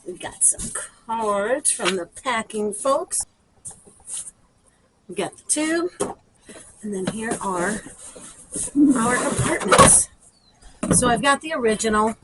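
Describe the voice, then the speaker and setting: A woman's voice: a little high, animated, slow pace, pauses often, very noisy. A woman chatting casually and thinking aloud as she demonstrates for a garden vlog. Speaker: garden vlogger.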